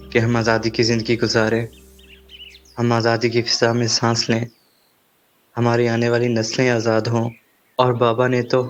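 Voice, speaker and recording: A man's voice: medium pitch, close to an everyday voice. An actor playing an earnest young man defending his old father in an audio drama. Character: devoted young man, son of an old villager.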